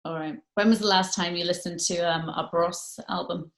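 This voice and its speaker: A woman's voice: on the low side, fairly even intonation, normal speed, fairly bright tone. A woman presenter asking questions in a casual, conversational interview style. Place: remote video call. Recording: echoey room on a remote call.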